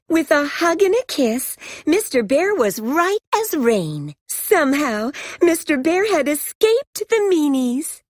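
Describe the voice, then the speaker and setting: A woman's voice: fairly high pitch, no room sound, clean studio sound, exaggerated voice. A woman playing a playful storybook narrator in a game, telling a children's adventure in a theatrical, sing-song, exaggerated way.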